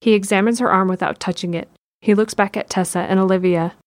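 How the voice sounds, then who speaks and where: A woman's voice: mid-range voice, fairly even intonation, fast, no echo, clean studio sound. A woman reading scripted narration for an audio drama. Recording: music behind the voice.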